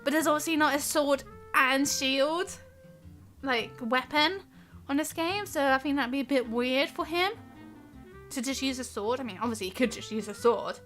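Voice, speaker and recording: A woman's voice: high voice, normal speed, a bit bright. A woman live streamer giving casual, chatty, giggly game commentary that rambles along. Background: game music.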